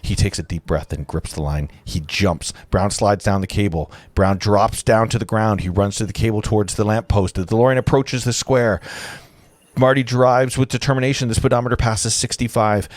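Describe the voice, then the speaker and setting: A man's voice: on the low side, fairly even intonation, moderate pace, dry recording. A man narrating stage directions at a live table read, informally, breaking off now and then to comment. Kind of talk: casual live script read-through.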